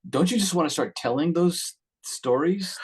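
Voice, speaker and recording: A man hosting a conversational interview, putting questions in a halting, roundabout way. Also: fairly high pitch, animated, darkish tone, slightly rough. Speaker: interviewer, host.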